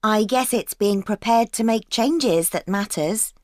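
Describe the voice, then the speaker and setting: An actress playing a keen young student in a scripted exam dialogue, agreeable and quick to laugh. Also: female voice, mid-range voice, no echo, natural voice. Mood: earnest. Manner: eager, agreeable, laughs often. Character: keen young female events-management student. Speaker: student planning a work placement. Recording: dry studio recording.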